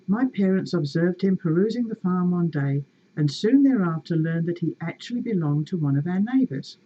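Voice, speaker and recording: A woman reading a story aloud in a measured, expressive and wry way, with casual asides to her viewers. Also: on the low side, slightly rough, muffled recording.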